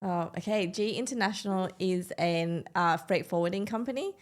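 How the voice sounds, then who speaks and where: A woman with an Australian accent, a guest on a podcast, talking casually and warmly and laughing often between fillers. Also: fairly low pitch, moderate pace. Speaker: guest, business owner. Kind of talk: casual interview chat.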